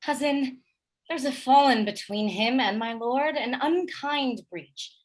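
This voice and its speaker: A woman playing a gentle, wronged noble wife in a Shakespearean radio drama, earnest and submissive. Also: sits high, unhurried.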